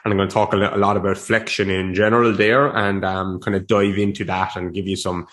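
A man's voice: low voice, flat delivery, brisk, a bit bright. A young man hosting his podcast in a casual, rambling chat, a little sniffly from a cold. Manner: rambling, chatty, with restarts and laughs. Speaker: podcast host.

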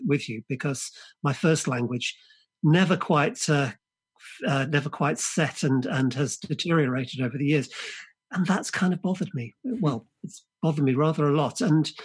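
A man whose first language is Polish, a guest author speaking in a podcast interview, thoughtful and halting, often restarting and repeating words. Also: mid-range voice, breathy edge.

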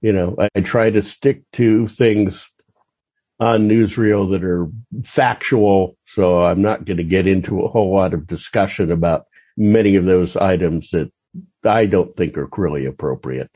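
A man speaking as an interview guest, answering in a measured, hesitant and careful way. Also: low-pitched, warm tone, husky. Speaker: magazine editor, interview guest. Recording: dull, muffled lo-fi call audio.

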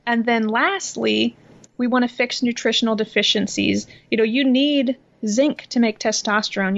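A woman in her thirties from Iowa talks through how she treats patients, laughing lightly as she goes. Her voice sits a little high and has a gravelly fry. The remote podcast audio sounds dull and lo-fi. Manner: explanatory, laughs lightly between points. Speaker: health practitioner guest. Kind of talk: podcast interview. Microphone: remote computer recording.

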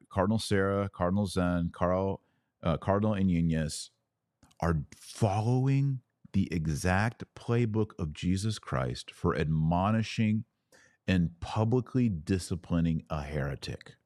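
A man's voice: low voice, darkish tone, no room sound, studio quality. A man hosting a religious commentary podcast, explaining things emphatically and didactically, often posing questions and answering them himself. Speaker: podcast host.

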